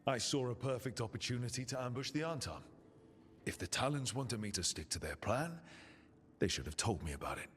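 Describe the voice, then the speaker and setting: A man plays a defiant young assassin in a game cutscene. His mid-pitched voice is animated, confident and insistent, carried in a long reverb. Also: brisk, natural voice. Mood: defiant, explaining. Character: defiant, brash young male assassin leading a team. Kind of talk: dramatic video game dialogue.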